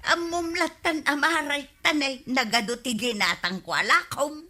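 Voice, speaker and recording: High, bright, bossy Ilocano wife. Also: female voice, moderate pace. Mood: gleeful gossip. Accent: Filipino, Ilocano. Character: bossy, money-grabbing nagging village wife who gossips. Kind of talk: comedic radio drama acting.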